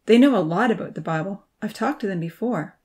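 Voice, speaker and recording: A woman voicing an earnest young woman in love in a story, soft-spoken and pleading. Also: mid-range voice, animated, fairly quick, lightly acted. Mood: hopeful. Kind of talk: storybook character reading.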